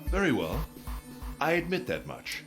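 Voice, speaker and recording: An indignant corporate villain backed into a corner, higher-set voice, theatrical swings, game music underneath. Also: male voice, very animated, moderate pace, vocal fry. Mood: cold, defiant. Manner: emphatic, self-justifying, theatrical. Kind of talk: dramatic visual novel dialogue acting.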